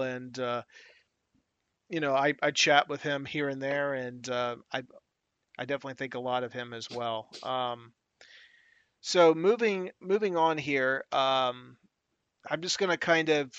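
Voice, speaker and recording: Smooth-voiced male interviewer, dry and dull. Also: mid-range voice, a bit bright, no echo. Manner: halting, many fillers, steers topics. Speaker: interview host. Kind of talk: casual interview.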